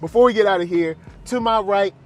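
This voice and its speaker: A man chatting casually and excitably as a host giving an unscripted film reaction, playful and fond of repeating phrases for emphasis. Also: sits high, brisk. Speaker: film reviewer and channel host.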